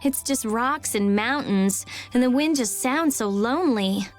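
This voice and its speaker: A woman's voice: on the high side, measured pace, soft background music, close to an everyday voice. A woman playing a blunt, sarcastic, impatient teenage girl in a video game.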